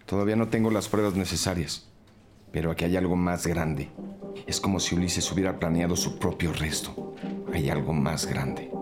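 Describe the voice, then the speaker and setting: A man's voice: low voice, steady tone, moderate pace, music in the background, natural voice. An actor playing a suspicious, grave male police detective in an audio drama. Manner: grave, skeptical. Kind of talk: Spanish-language audio drama acting.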